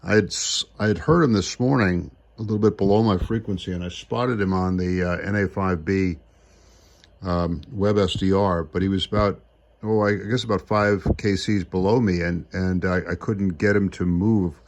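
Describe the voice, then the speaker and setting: Male hobbyist vlogger, very low voice with plenty of fry, rambling and unscripted. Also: fairly even intonation, normal speed, highs cut off. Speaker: amateur radio hobbyist. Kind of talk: casual off-the-cuff vlog talk.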